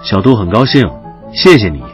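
An actor playing a composed young doctor in an audio drama, gentle and reserved, speaking with calm understatement. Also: male voice, lowish voice, a little fast, highs cut off, soft background music. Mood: calm, tender.

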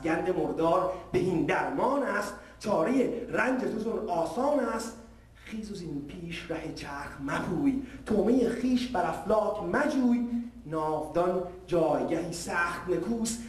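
A man reciting a narrative poem in a measured, declamatory way, verse by verse. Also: sits high, a little mellow. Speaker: poetry reciter. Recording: distant, echoey hall, faint noise.

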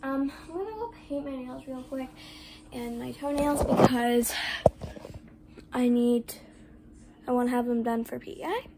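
Young girl vlogger, high voice, rambling chat full of fillers, phone camera in a bedroom with noisy background hiss. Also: a little slow, pauses often, dark tone. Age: 11, child. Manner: rambling, chatty, many "like" fillers. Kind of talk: casual vlog chat. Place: bedroom, indoors. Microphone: phone camera on desk. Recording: noisy room ambience.

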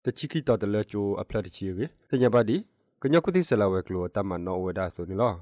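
Male news presenter, lower-pitched voice, close dry studio sound. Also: lively intonation, rapid-fire, a little mellow, no room sound. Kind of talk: radio news reading.

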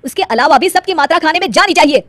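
Woman acting young husband, cartoon story, lecturing, emphatic, high-pitched, close dry recording. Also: lively intonation, fast, fairly bright tone, no echo. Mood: angry.

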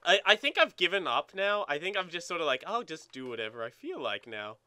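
A man's voice: fairly high pitch, big pitch swings, fairly quick, sharp tone, clean tone, no room sound. A young man co-hosting a gaming video with casual, playful banter and crude jokes. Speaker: let's play co-host.

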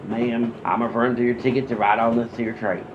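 A man acting a polite, unflappable, deadpan train conductor in a radio comedy. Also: mid-range voice, a little fast, noisy background, close to an everyday voice. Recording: muffled, dull podcast recording.

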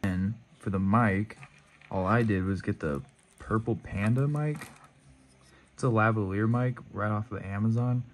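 A man's voice: on the low side, measured pace, a little hiss or hum. A man casually walking through his motorcycle camera and mic setup in a laid-back, rambling way, often correcting himself. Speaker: motovlogger. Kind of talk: casual gear walkthrough.

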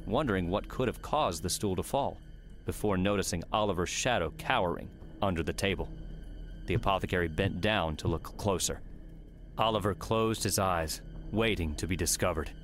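A man narrating an adventure novel as a scripted audiobook reading. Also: sits low. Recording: faint background noise and ambience.

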